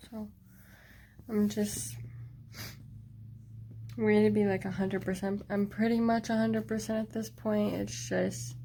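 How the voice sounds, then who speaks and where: A woman's voice: mid-range voice, creaky, warm tone. A woman talking in a casual video diary, hesitant and rambling with many fillers, sometimes dropping to near-whispers. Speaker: vlogger.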